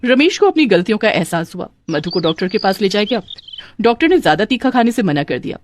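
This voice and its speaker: A woman's voice: mid-range voice, big pitch swings, fast, slight background noise. A woman narrating a scripted moral story in the manner of a cartoon storyteller.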